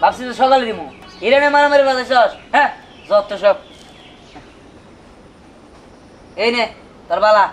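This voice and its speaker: An exasperated young husband in a comic cartoon, played by a man. His voice is very high for a man and sharp, and he scolds at a fast clip in colloquial Bangladeshi speech. Music plays lightly underneath. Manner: scolding, rapid, forceful. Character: exasperated young husband of two quarrelling wives.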